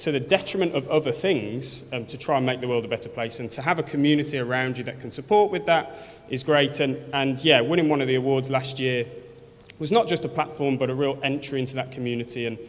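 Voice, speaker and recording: A man with a Birmingham accent speaks earnestly from a stage, his sentences full of ums and false starts. His voice has a middle pitch. A long reverb from a large hall trails behind each phrase. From Birmingham, England. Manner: earnest, with many fillers and restarts. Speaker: award winner speaking at an event. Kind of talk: speech at an awards event.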